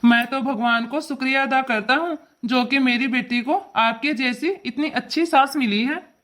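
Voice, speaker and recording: A woman voicing an effusive, slyly flattering parent of a bride in an exaggerated family comedy cartoon. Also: high voice, normal speed. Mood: gushing. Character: gushing parent of the bride, slyly over-praising the in-law.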